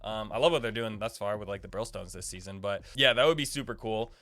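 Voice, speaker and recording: A man's voice: lowish voice, fast talker, fairly bright tone. A man hosting a gaming video, giving casual, informal lore commentary in a rambling, speculative way. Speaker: gaming video creator and host.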